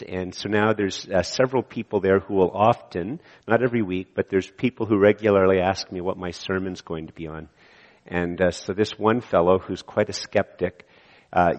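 Hoarse male pastor, low-pitched, chatty sermon full of fillers, long church reverb. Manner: conversational, with many fillers and restarts. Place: church sanctuary.

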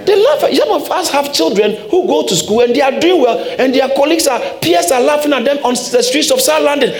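A man preaches a sermon in a roomy, echoing church hall. His voice sits high and leaps up and down with emphasis, and he hammers points home by repeating them. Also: expressive, fairly quick, faint music behind. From Ghana. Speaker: pastor.

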